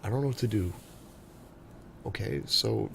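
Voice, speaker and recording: A man's voice: on the low side, normal speed, gravelly, a bit bright, a little hiss or hum. A man playing a bewildered, anxious lover in a naturalistic audio drama, speaking with intimate, searching disbelief. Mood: uneasy, searching.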